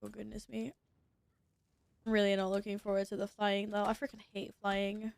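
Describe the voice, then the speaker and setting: A woman's voice: mid-range voice, completely flat delivery, moderate pace, gravelly. A young woman streaming a game, sniffly from sneezing, chatting casually and reacting as she plays. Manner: chatty, reacts to gameplay, reads chat aloud. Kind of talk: casual gaming stream chat.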